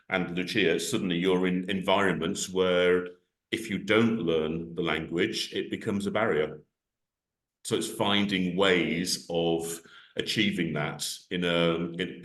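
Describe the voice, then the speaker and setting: A man moderating an online panel on a video call, speaking haltingly with many restarts and fillers. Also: low voice. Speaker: event organiser and moderator. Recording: echoey room on a video call.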